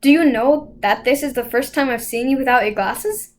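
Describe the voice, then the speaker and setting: A woman voicing a frank, kindly, gently teasing teenage boy in a storybook reading. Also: high voice, normal speed, close to an everyday voice. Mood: tender, teasing.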